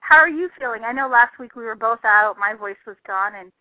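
A woman with a slightly raspy, under-the-weather voice, chatting casually as a radio host calling in. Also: a little high, fairly quick, no echo. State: recovering voice, prone to coughing. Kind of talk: casual live chat. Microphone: calling in by phone. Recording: dull, dry call-in audio.

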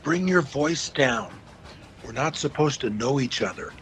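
Guarded, formal man played by an actor, mid-pitched. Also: expressive, a little slow, fairly bright tone, a little hiss or hum. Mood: secretive, flustered. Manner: hesitant, formal. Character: guarded, evasive man hiding his romance. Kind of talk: radio drama acting.

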